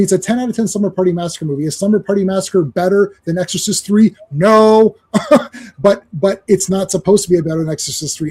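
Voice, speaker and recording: Animated man with a high, mellow voice. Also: slightly rough. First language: Croatian. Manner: animated storyteller, laughs often. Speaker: podcast co-host, reviewer. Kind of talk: casual podcast chat.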